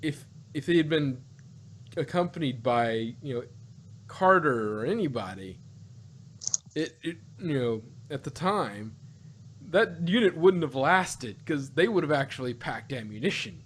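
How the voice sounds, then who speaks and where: A man co-hosting a review podcast in casual, unscripted chat, rambling and often rephrasing himself with animated asides. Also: medium pitch, unhurried, a little hiss or hum. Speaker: podcast co-host reviewing audio dramas.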